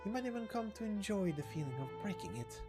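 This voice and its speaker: A woman's voice: medium pitch, very animated, fast, music in the background, pitched above the performer's own voice, lightly acted. A woman voicing a playful, mocking schemer from a game story, musing and taunting. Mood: cool musing.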